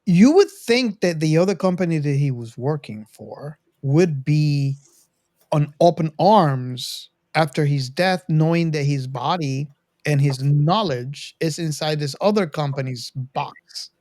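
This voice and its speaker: A man co-hosting a casual film discussion, reasoning aloud in a measured way. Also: fairly high pitch, animated, darkish tone. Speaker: movie discussion podcast co-host.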